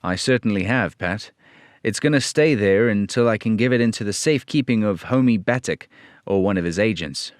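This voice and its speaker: A man playing an earnest, fatherly police detective in an old radio serial style, measured and authoritative. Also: lowish voice, no room sound, clean studio sound, natural voice. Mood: earnest, businesslike.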